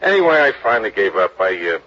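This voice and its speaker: A man's voice: fairly low pitch, sing-song, fast, sharp tone, full character voice. A man playing an easygoing drifter in a 1950s radio drama, drawling and hesitant. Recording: muffled old radio broadcast.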